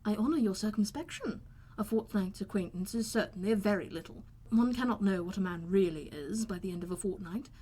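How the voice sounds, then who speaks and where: A woman acts out a middle-aged father in an audiobook, calm and sardonic. The delivery is measured and wordy, with deadpan teasing. The voice is slightly husky. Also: medium pitch. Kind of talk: audiobook character voice.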